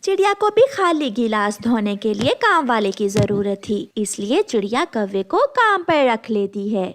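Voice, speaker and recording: A woman's voice: fairly high pitch, big pitch swings, normal speed. A woman reading scripted narration for a children's cartoon story.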